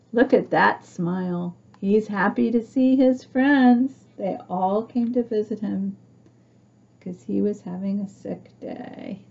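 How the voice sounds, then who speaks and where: A woman's voice: mid-range voice, muffled recording. A woman reading a picture book aloud to young children, expressively, with chatty asides and questions for her listeners. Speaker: storybook reader for young children.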